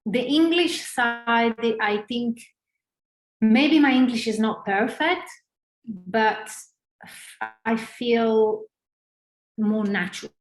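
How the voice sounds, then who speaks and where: Italian-accented woman, halting, echoey room. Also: on the high side. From northern Italy. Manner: hesitant, many fillers and restarts. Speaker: interview guest, former ballerina. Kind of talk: casual interview conversation. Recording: roomy echo.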